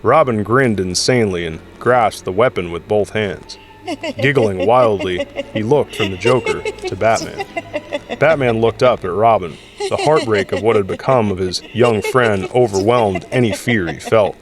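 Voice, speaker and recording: A man's voice: lowish voice, normal speed. A man narrating an audio drama in a dramatic, scripted storytelling style. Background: dramatic music.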